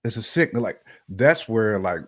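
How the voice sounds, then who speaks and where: A man's voice: fairly low pitch, lively intonation, moderate pace, no room sound. A man co-hosting a talk show, speaking casually and colloquially about his opinions and repeating phrases for emphasis.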